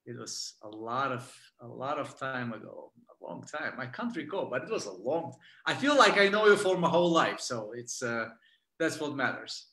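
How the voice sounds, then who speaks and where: A man's voice: medium pitch, expressive, normal speed, bright, forceful tone, roomy sound. A Bulgarian man whose first language is Bulgarian, a guest in an interview, speaking hesitantly with many fillers and frequent laughs. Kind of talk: interview conversation.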